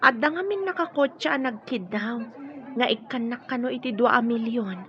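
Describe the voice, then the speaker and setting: A woman's voice: on the high side, moderate pace, muffled recording, a little hiss or hum, close to an everyday voice. An actress playing a hesitant, bewildered young woman in an Ilocano radio drama, with an Ilocano accent and a questioning manner. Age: young adult.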